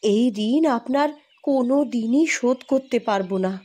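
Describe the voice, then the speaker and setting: A woman voicing a caring, poor farmer's wife in a cartoon, with a soft, heartfelt delivery. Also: fairly high pitch, measured pace, husky. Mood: grateful. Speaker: voice actor. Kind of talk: cartoon voice acting.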